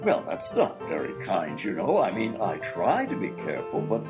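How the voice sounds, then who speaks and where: A man's voice: fairly low pitch, wildly swooping pitch, normal speed, over loud music. A man playing a fussy, absent-minded British doctor and inventor in a comedy radio play, blustering and full of hesitations. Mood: dithering.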